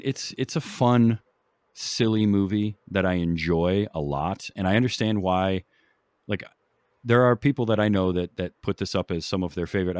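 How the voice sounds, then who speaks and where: A man with a low voice hosts a casual film podcast. He rambles along with enthusiasm, and the sound is close and dry. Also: no echo. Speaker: podcast host.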